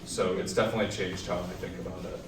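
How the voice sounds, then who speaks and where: A man's voice: low-pitched, little pitch movement, racing, background noise. A young man competing on a student reality show, talking earnestly and rambling a little. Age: young adult. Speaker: contestant. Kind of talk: casual talk. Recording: echoey room, distant mic, music behind.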